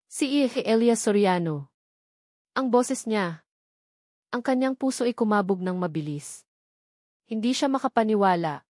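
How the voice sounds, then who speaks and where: A woman's voice: a little high, dry recording, clean studio sound. A woman reading a scripted love story as a dramatic narrator.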